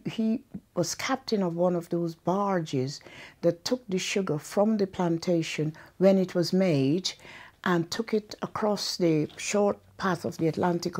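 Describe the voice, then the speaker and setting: Slow, thoughtful older woman from Guyana. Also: fairly low pitch, a little mellow. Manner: slow, thoughtful, with frequent pauses and ums. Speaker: oral history interviewee. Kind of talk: reminiscing interview.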